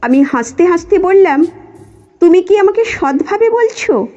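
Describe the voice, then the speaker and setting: A woman's voice: very high-pitched, very animated, measured pace, dark tone. A woman reading a scripted first-person story as its narrator. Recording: muffled lo-fi audio with music. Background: background music.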